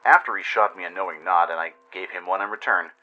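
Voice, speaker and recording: A man's voice: low-pitched, fairly even intonation, quick pace, pushed and bright, lo-fi sound, soft background music. A man narrating a scripted dramatic first-person story in radio-play style, with an intense, theatrical delivery.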